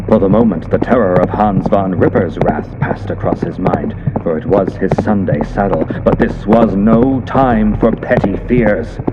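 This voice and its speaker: A man's voice: mid-range voice, very noisy. A man narrating a scripted story in the theatrical, suspenseful style of classic radio horror drama, with emphatic delivery. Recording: dull lo-fi audio under loud sound effects. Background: loud sound effects of ambience and horse hooves.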